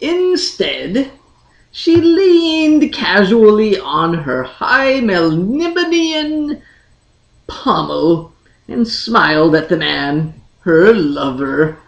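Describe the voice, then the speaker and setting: A woman narrating a fantasy story in a measured, dramatic storybook style with deliberate, drawn-out phrasing. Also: mid-range voice, sing-song, gravelly, muffled recording. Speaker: audiobook reader, channel host. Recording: echoey, roomy sound.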